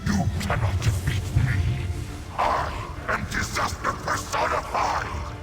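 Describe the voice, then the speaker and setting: Megalomaniac villain, wildly varied pitch. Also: male voice, wildly swooping pitch, slow pace, exaggerated voice. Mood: wrathful. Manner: grandiose, commanding. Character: Nokvroz, megalomaniac male incarnate of destruction, contemptuous villain. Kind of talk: theatrical fantasy game acting. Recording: loud game music behind.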